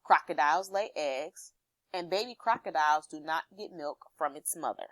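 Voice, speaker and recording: A woman's voice: deep voice, moderate pace, a bit bright, no echo. Woman teacher reading a lesson aloud, dry sound. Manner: instructional, checks understanding with questions. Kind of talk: read-aloud lesson with explanations to pupils.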